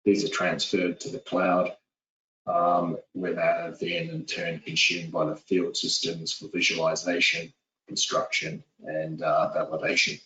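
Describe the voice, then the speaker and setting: Low-voiced Kiwi man presenting a webinar. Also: measured pace. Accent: New Zealand. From New Zealand. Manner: steady, businesslike, with frequent filler "uh"s. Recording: echoey, distant, dull lo-fi audio.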